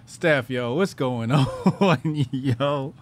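A man hosting a call-in show, bantering loudly with callers, laughing and swearing in slang. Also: mid-range voice, very slow, a little hiss or hum.

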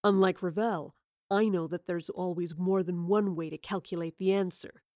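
A woman voicing a coldly scornful, arrogant male villain in a low, hushed, menacing voice for an audiobook. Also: fairly even intonation, no echo, clean studio sound, lightly acted. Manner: slow, menacing, contemptuous.

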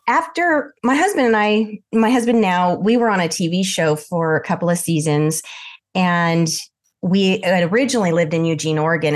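An older woman from southern Idaho with a mixed American accent, chatting as an interview guest and telling her story with frequent laughs. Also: on the low side. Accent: mixed American, Idaho and Texas. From southern Idaho, USA. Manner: chatty, animated, laughs often. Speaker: guest, lavender farm owner.

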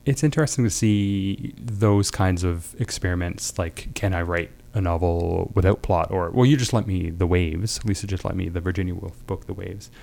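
Gravelly, lowish-voiced man chatting about books. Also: normal speed, few pauses, darkish tone. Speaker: writer, podcast guest. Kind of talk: casual literary discussion.

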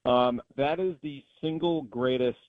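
Over a dry, narrow phone-like line, a man of medium pitch talks sports as a guest announcer. His analysis is conversational but emphatic, and he states his opinions firmly. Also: measured pace, dry recording.

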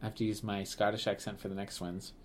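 A man's voice: lowish voice, little pitch movement, fast talker, a little hiss or hum. A man co-hosting a podcast in Canada, talking in a chatty, rambling way with lots of fillers, restarts and laughs. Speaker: podcast co-host, museum staff. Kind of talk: casual podcast chat.

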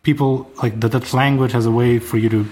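A man's voice: medium pitch, fairly even intonation, a little fast, darkish tone. Rambling young man, Portuguese speaker. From Brazil or Portugal. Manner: enthusiastic, rambling, frequent restarts. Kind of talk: casual chat.

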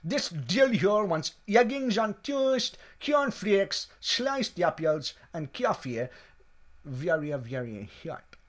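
A man's voice: high voice, very animated, slow pace, full character voice. A man playing a surly, short-tempered waiter in an adult comedy sketch, sarcastic and insolent. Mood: defiant. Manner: rude, sarcastic.

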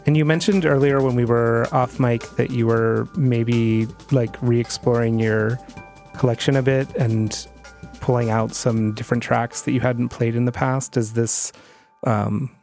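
A man hosting a casual radio interview and asking relaxed, encouraging questions. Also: mid-range voice, a little slow, faint music behind. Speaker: radio host. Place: radio studio.

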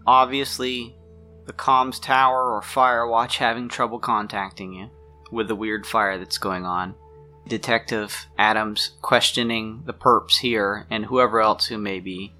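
A man's voice: lowish voice, little pitch movement, unhurried, sharp tone. A man narrating an improvised tabletop roleplaying game as game master. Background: music.

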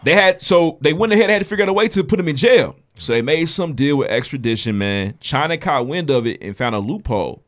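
A man's voice: a little high, expressive, quick pace, few pauses, a bit bright. A man co-hosting a podcast, telling a news story in loose slang with plenty of swearing. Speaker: podcast co-host. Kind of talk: casual chat.

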